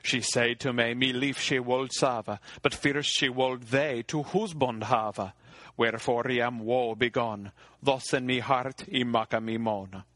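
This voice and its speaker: A man's voice: mid-range voice, steady tone, a little slow, fairly bright tone, dry recording, natural voice. A man voicing a troubled medieval king, speaking archaic verse in a grave way.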